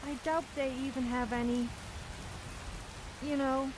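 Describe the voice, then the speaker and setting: A woman's voice: high voice, little pitch movement, measured pace, noisy background. A woman voicing a vain, playful young female adventurer companion in a video game. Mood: playful, boastful.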